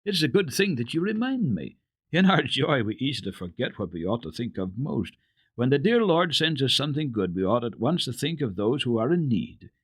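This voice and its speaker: Give the storybook grandmamma a genteel, kindly, elderly voice as a male reader plays her. She is encouraging and expressive, with broad swings in pitch. The recording is dry. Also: moderate pace, slightly rough, no room sound. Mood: excited, resolute. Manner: encouraging, gently exclamatory. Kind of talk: dramatic reading of children's classic.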